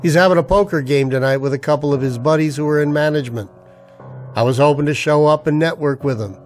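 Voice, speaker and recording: A man's voice: a little high, fast, faint music behind, close to an everyday voice. A man playing a wary, hard-up army-veteran husband in a radio drama, with a sardonic, colloquial delivery. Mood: casual, joking. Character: broke, wary army-veteran husband narrating his noir misadventure. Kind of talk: old-time radio drama acting and first-person narration.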